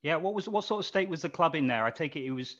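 A man's voice: a little high, animated, quick pace, a bit bright. Male interviewer with dull, lo-fi sound. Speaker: interviewer, podcast host. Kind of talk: casual interview questioning.

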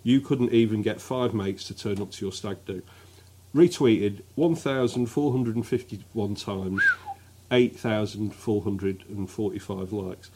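A man's voice: on the low side, measured pace, warm tone, a little hiss or hum. A man co-hosting a casual podcast, sardonic and dry as he reads out tweets. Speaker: podcast co-host. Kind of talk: casual comic chat.

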